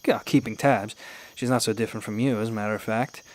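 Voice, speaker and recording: A man's voice: fairly low pitch, steady tone, fast, close to an everyday voice. An actor playing a suave, mysterious man in a radio drama, polite, wry and teasing as he talks. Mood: wry, amused. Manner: polite, wry, smooth-talking.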